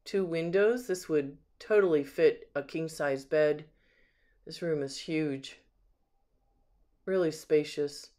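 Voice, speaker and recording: Home sales agent, mid-range pitch, casual walkthrough, admiring, unscripted, handheld camera in empty rooms. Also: slow pace, long pauses, warm tone. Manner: admiring, unscripted item-by-item describing. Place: indoors, rooms of an empty house.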